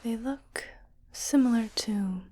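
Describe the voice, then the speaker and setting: A woman playing a calm, reassuring ship's doctor in a science fiction ASMR roleplay, speaking in a breathy whisper with a measured, clinical manner. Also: on the high side. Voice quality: breathy, mostly unvoiced whisper. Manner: slow, measured, clinical and soothing.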